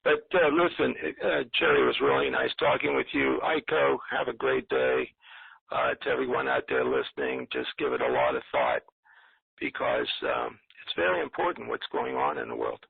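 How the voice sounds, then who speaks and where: Very gravelly man on a phone line. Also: mid-range voice, wildly swooping pitch, normal speed, no room sound. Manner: hesitant, gloomy, earnest. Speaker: caller. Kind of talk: rambling call-in commentary. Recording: narrow muffled phone-line sound.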